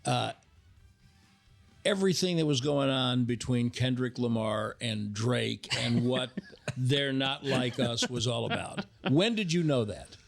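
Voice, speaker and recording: A man chatting casually in a conversational storytelling way, often halting and repeating himself as a guest on a talk show. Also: mid-range voice, creaky, soft background music.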